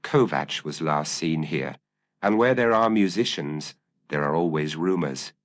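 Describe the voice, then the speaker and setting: A man's voice: very deep voice, expressive, unhurried, no echo, clean studio sound. A man voicing a brilliant, intense Victorian detective in an audiobook, speaking in a precise, commanding and formal way. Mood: measured.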